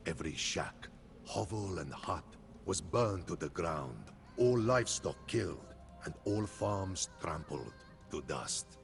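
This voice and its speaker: Deep-voiced steppe khan, grave and vengeful; gravelly game cutscene narration over music. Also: male voice, expressive, full character voice. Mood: grim. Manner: solemn, ominous, deliberate. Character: grave, vengeful Cuman khan recounting his people's war. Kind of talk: dramatic scripted storytelling narration. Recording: music behind.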